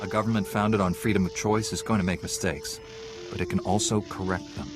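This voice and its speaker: Man playing a deep-voiced, composed Jedi knight. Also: steady tone, a little fast, music in the background, close to an everyday voice. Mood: earnest. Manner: composed, earnest, firm. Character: calm, resolute male Jedi knight hero. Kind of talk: video game cutscene acting.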